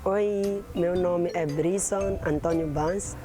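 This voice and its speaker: A man, slowly introducing himself and listing words. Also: sits high, fairly even intonation, over music. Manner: slow, listing words one by one. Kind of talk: short self-introduction.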